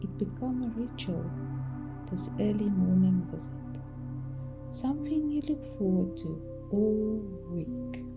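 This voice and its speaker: A woman reads a soothing sleep story at a very slow pace. Her delivery is gentle and calming, with soft phrasing and pauses between thoughts. Her voice sits at an average pitch, with music clearly playing behind it. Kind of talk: soothing bedtime meditation story narration.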